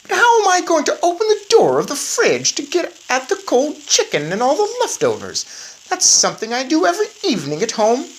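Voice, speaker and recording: A woman's voice: high-pitched, huge pitch swings, a little fast, voice pushed up. A woman voicing a greedy, indignant young boy turned mouse in a read-aloud storybook, blustering and protesting. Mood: curious.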